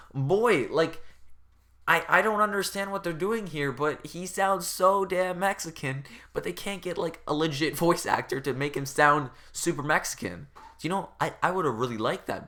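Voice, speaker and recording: A man's voice: fairly high pitch, sharp tone. A man giving a casual comedic rant as a game reviewer, rambling and exasperated, with informal, profane speech.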